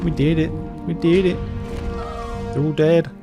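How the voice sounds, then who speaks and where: A man's voice: fairly high pitch, fairly even intonation, unhurried. A man giving casual, informal gameplay commentary, explaining things step by step in plain words. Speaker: video game walkthrough narrator. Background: game music.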